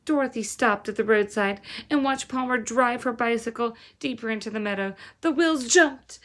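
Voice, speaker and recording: A woman storyteller reading a children's novel aloud in an expressive style. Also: fairly high pitch. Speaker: reader, teacher-like storyteller.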